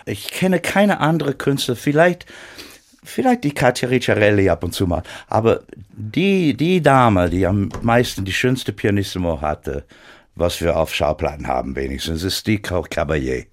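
Brief: American guest speaking careful, halting German, low-pitched, many restarts. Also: male voice, very animated. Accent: American English. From United States. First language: English. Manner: halting, searching for German words, frequent restarts. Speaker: guest pianist. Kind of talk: radio interview.

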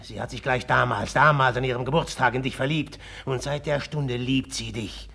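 An actor playing a brooding, bitter, obsessively jealous suitor in a German radio play, speaking haltingly with a rough edge. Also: male voice, mid-range voice, steady tone, bright, forceful tone. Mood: bitter, agitated. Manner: halting and brooding, breaking into taunting outbursts.

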